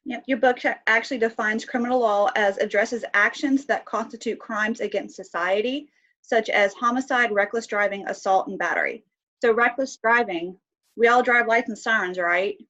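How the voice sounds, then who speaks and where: A woman's voice: a little high, normal speed, a bit bright, dull audio. A woman teaching an online class with a slight cold, asking students questions and using many fillers. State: has a cold, sniffly. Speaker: instructor.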